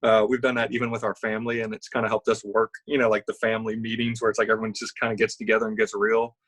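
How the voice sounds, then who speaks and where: A young man from Louisiana, a musician, answering interview questions in a relaxed, affable, rambling way on a live stream. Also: fairly low pitch, very fast, husky. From Baton Rouge, Louisiana. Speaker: musician guest.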